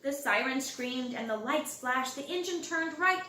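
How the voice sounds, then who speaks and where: High, animated woman reading a storybook. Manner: expressive, drawn-out words and loud acted exclamations for young listeners. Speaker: storybook reader. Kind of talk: animated children's storybook read-aloud. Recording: roomy home recording, distant mic.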